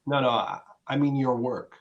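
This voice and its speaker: A man's voice: mid-range voice, fairly even intonation, moderate pace, dull audio, close to an everyday voice. A man playing an affable, self-satisfied businessman and boyfriend in a dramatic play reading. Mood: genial, proud.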